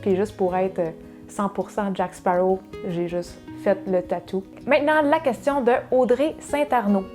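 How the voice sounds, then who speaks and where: A woman from Quebec with a Québécois accent chats through a relaxed Q&A, quick and full of restarts. Her voice is mellow and soft-edged, with faint music playing under it. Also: medium pitch. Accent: Québécois French. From Quebec, Saguenay. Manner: chatty, fast, with many fillers and restarts. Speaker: cooking channel co-host. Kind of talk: casual Q&A chat.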